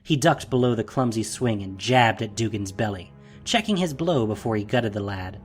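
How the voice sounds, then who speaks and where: Low-voiced man narrating dark fantasy. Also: expressive, normal speed, faint music behind. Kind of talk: scripted fantasy story narration.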